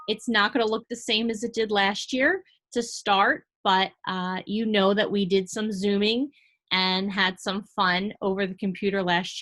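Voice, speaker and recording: A woman preschool teacher reading to young children in an animated way, with simple explanations and questions spoken directly to them. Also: mid-range voice. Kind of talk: read-aloud to young children with asides and questions.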